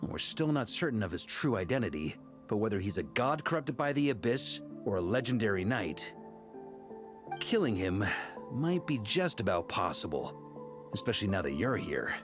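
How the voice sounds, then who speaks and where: An actor playing a seasoned, confident knight commander in a video game, explaining tactics in a measured, pragmatic way. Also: male voice, lowish voice, big pitch swings. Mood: assured, pragmatic. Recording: music behind.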